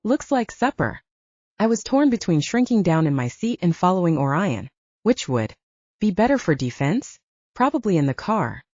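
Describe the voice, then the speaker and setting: A woman's voice: lowish voice, big pitch swings, moderate pace, a little mellow, no room sound. A woman narrating an audiobook in a dramatic, suspenseful scripted style, on slightly muffled audio.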